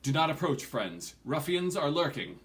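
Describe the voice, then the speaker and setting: A man's voice: a little high, sing-song, moderate pace, fairly bright tone, far from the mic, pitched above the performer's own voice. A man voicing a talking tree that urgently warns its friends, in a storybook reading. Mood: warning. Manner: urgent, emphatic.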